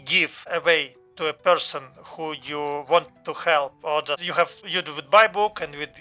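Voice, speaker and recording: A man hosting a podcast, asking questions haltingly with lots of fillers. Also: fairly high pitch, steady tone, pushed and bright, faint music behind. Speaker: podcast host. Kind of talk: interviewing a guest.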